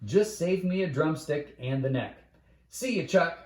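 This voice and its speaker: Brash, chatty storybook girl played by a male reader, breezy and pushy, raised pitch, roomy echo. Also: animated, measured pace. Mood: upbeat, pushy. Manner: chatty, breezy, talks over others.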